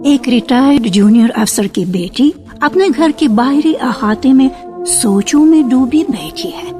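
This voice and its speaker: A woman narrating a radio drama from a script in a measured storytelling manner. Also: high-pitched. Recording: archival radio with faint music.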